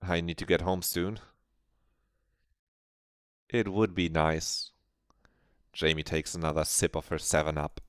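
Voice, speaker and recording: Slow, deep-voiced man reading a story. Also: steady tone, fairly bright tone, clean tone, dry recording, clean studio sound. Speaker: streamer. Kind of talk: reading a visual novel aloud.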